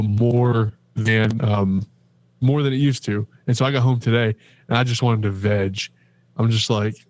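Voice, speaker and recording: A man's voice: lowish voice, a little mellow. A middle-aged man co-hosting a podcast, telling a story about his day in a rambling, chatty way with lots of fillers. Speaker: podcast co-host. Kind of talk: casual chat.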